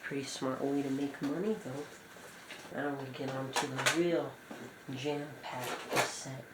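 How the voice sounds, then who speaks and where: A man with a middling pitch presents items from a collection in a casual way. He speaks in short, halting, informal phrases. The room is echoey and there is clear noise in the recording. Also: steady tone. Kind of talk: casual show-and-tell commentary.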